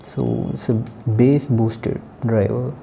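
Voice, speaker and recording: A man giving a casual, unscripted product review in halting, short phrases. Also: medium pitch, fairly even intonation, gravelly, dark tone, a little hiss or hum.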